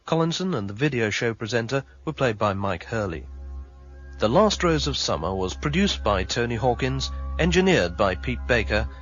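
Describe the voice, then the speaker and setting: A man reading scripted titles and credits as a radio drama announcer, in a formal, measured manner. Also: medium pitch, very animated, a bit bright, slightly rough. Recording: dull, muffled old radio recording. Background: faint music.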